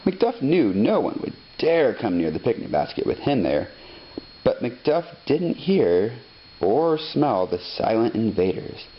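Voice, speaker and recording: A man narrating a children's story expressively, reading deliberately phrase by phrase. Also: mid-range voice, sing-song. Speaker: storybook reader. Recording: dull lo-fi sound, faint noise.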